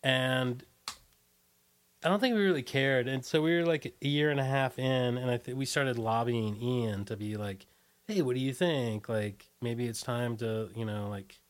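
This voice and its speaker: A man speaking as an interview guest, casually recollecting in a loose, halting way and often trailing off. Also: mid-range voice.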